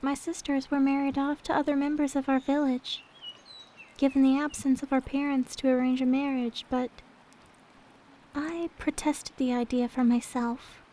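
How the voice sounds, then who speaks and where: Woman acting a high-voiced gentle young maid. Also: flat delivery, moderate pace, close to an everyday voice, low-quality recording. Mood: wistful, tender. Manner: polite, tender, demure storytelling. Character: gentle, devoted young maid companion with a sad past. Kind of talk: ASMR roleplay, soft intimate character acting.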